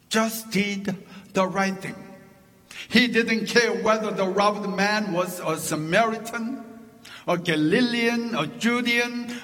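A man with a Korean accent preaches a sermon from the pulpit, his first language being Korean. His voice sits high and he takes his time, building his points through deliberate lists. The sound carries the long reverb of a church sanctuary. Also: fairly bright tone. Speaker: pastor.

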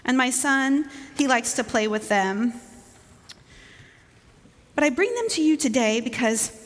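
Female church children's leader: slightly high voice, gentle, simple, informal phrasing, reverberant hall. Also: animated, a little slow. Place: large indoor church.

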